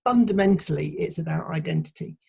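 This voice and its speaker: Husky low-voiced woman guest. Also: a little fast, dark tone. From Sheffield, England. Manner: thoughtful, explains ideas at length with frequent restarts. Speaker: podcast guest. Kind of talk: casual conversational interview.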